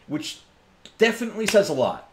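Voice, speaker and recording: A man's voice: high-pitched, sing-song, a little fast, background noise. A man playing a tabletop roleplaying game, chatting casually in and out of character with playful banter.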